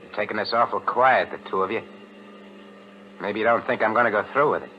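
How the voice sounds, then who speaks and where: A man's voice: on the low side, brisk, slight background noise, close to an everyday voice. An actor playing a cold-blooded gangster killer with slangy tough-guy talk in a 1940s radio thriller. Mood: menacing. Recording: muffled vintage radio broadcast.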